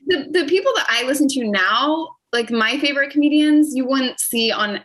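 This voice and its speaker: A woman's voice: sits high, normal speed, a bit bright. A young adult woman talking as a guest on a podcast, friendly and chatty. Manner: friendly, chatty, enthusiastic about comedians. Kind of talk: casual chat.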